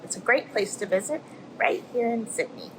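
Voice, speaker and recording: A woman's voice: on the high side, lively intonation, normal speed. A woman presenting a children's story time, introducing things to young viewers. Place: indoors at an aquarium, before a tank. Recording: clear background noise, aquarium room ambience.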